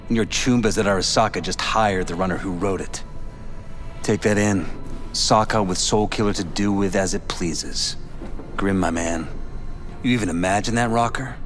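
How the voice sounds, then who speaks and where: An actor playing a wary, streetwise informant in a cyberpunk video game, speaking in slangy, emphatic, matter-of-fact terms. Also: male voice, sits low, moderate pace, soft background music, close to an everyday voice. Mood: grave, insistent. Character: streetwise, wary male informant explaining corporate danger. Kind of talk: video game drama acting.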